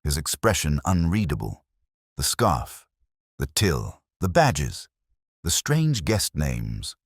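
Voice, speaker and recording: Very deep male mystery narrator. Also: huge pitch swings, takes it slowly, slightly rough, dry recording, studio quality. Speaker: audiobook narrator. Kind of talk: scripted mystery story narration.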